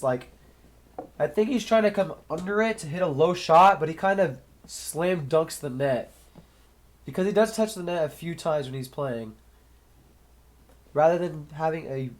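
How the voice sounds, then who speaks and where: A young man with a slightly nasal, stuffy voice from a cold, casually calling a match play by play on his own and thinking aloud between points. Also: a little high. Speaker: sports commentator. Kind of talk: solo play-by-play commentary.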